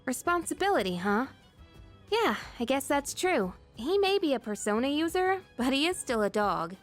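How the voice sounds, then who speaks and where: High-pitched, bubbly teenage girl. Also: normal speed, soft background music. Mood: relieved, thoughtful. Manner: lively, casual. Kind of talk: video game voice acting.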